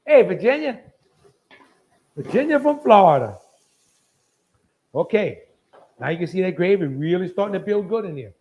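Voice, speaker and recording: A man's voice: high-pitched, big pitch swings, normal speed, pauses often, lo-fi sound. A man hosting a live cooking demonstration in a folksy, chatty, colloquial way. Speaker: host of a live cooking show.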